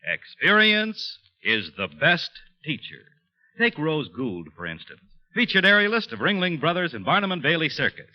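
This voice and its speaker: Lively American male radio pitchman. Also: normal speed. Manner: polished, emphatic salesmanship. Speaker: commercial announcer. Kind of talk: 1940s radio advertisement narration. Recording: dull old 1940s radio broadcast.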